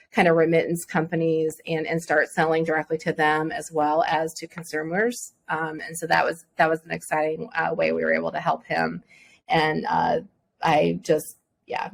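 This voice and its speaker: A woman's voice: on the low side, creaky. A woman with a Midwestern American accent answering interview questions as a venture fund director, thoughtful, with many fillers and restarts.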